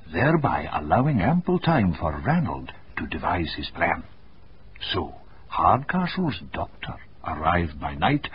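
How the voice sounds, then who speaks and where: An actor playing an investigator in a radio drama, formally and deliberately recounting a crime. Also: male voice, on the low side. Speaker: narrator. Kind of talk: dramatic radio play narration. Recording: dull, noisy old radio recording.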